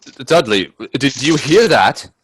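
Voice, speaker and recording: An actor playing a timid, wonder-struck gentleman companion in a radio play, with genteel, hesitant delivery. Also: medium pitch, very animated, unhurried, very noisy. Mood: nervous. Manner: hesitant, stammering in dialogue, genteel phrasing. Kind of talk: radio drama acting and first-person narration. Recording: husky dry close audio from video-call performance.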